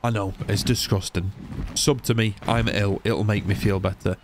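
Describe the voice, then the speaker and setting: A young man with a cold and a slightly nasal, blocked-nose voice, chatting excitably through a game playthrough. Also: lowish voice, normal speed, very noisy. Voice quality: a bit nasal. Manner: chatty, excitable, rambling asides. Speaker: gaming YouTuber. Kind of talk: casual let's-play commentary.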